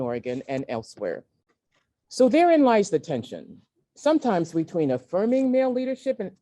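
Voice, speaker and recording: Expressive, mellow-voiced woman reading aloud. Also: sits low. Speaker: guest reader at a virtual event. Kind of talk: reading memoir excerpts aloud. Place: indoors at a community center, on an online event.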